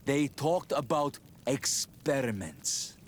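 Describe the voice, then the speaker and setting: An actor playing a grave, distrustful rebel chief in a fantasy video game, stern and measured. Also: male voice, mid-range voice, expressive, slight background noise.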